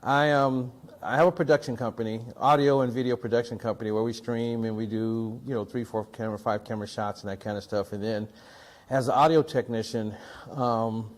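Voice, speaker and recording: A man's voice: medium pitch, fairly even intonation, fairly quick. A man hosting a tech review show, talking casually and in a rambling way, often restarting and repeating himself as he unboxes gear. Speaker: audio and video production technician. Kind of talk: casual product unboxing talk.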